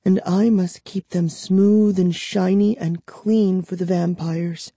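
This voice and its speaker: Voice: medium pitch, steady tone, slow pace, dark tone, no room sound, pitched above the performer's own voice. A narrator voicing a bitter, frightened twelve-year-old girl held prisoner, trembling and urgent, in a storybook reading. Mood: tearful, bitter. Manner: breathy sighing, urgent and trembling.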